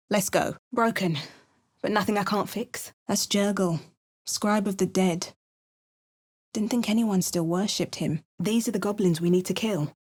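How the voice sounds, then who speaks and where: A woman's voice: mid-range voice, natural voice. A woman voicing a wry adventuring heroine. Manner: clipped asides, first-person narration and shouted spell incantations. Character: adventuring hero, young adult woman, wry and determined. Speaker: player character in a fantasy video game. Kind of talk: recorded game voice lines.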